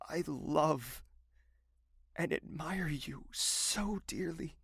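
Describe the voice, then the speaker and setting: A tender, remorseful nobleman in an audio drama, his voice gravelly and a little husky. He speaks slowly and earnestly, with a dry, close studio sound. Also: on the high side, dry recording, heavily acted. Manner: soft, slow and earnest.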